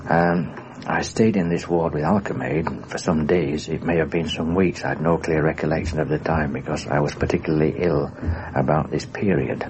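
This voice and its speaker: A man's voice: rumbling low, darkish tone, rough recording. A man, a former airman, carefully recounting his wartime memories in detail for a documentary interview. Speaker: former RAF airman recalling his war experience. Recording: faintly hissy 1960s radio recording.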